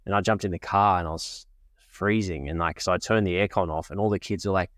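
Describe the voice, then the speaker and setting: A man's voice: low voice, fairly even intonation, a little fast, fairly bright tone, no room sound, clean studio sound. A man with a cold and a cough, hosting a podcast and talking in a rambling, conversational way. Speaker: podcast host. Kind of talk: casual monologue.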